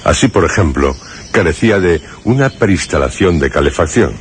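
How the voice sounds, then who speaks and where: An actor playing a grave male narrator in a suspense radio drama, with a measured, literary and solemn delivery. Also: deep voice, expressive, a little hiss or hum. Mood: measured, reflective. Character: grave male narrator recalling a troubled friend in suspense tale.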